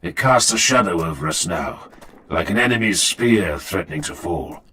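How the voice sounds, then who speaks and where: A man's voice: deep voice, animated, normal speed, sharp tone, a little hiss or hum, voice pushed down. A man voicing a stern, brooding warrior chaplain who is guarded in speech, in a first-person audiobook. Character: grim, brooding male warrior chaplain telling his tale. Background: music.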